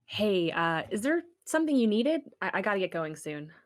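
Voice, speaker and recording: A woman's voice: medium pitch, big pitch swings, moderate pace, close to an everyday voice. A woman playing an easygoing, teasing college student with a casual delivery in a table read. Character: easygoing, teasing young female college crush. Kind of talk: table read of a pilot script.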